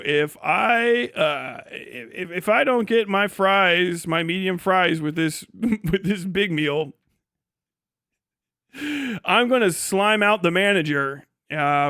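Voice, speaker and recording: A man's voice: sits high, fairly bright tone, no echo, studio quality. A man doing casual livestream commentary, talking in an irreverent, joking and profane way. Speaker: livestream host.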